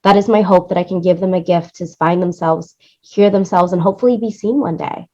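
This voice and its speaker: Low-pitched woman, emphatic, slightly dull audio. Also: fairly even intonation, brisk, dark tone. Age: late thirties. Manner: emphatic, repeats points for stress. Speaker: interview guest, music supervisor and producer. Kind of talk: casual interview talk.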